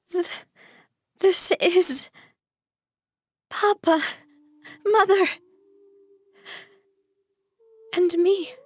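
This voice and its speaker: A woman's voice: extremely high pitch, steady tone, very slow, dry recording, clean studio sound, full character voice. An actress playing an earnest, vulnerable young woman boss in a video game, hesitant and heartfelt, weary but grateful. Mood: moved, grateful. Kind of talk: dramatic video game voice acting. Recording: music behind the voice.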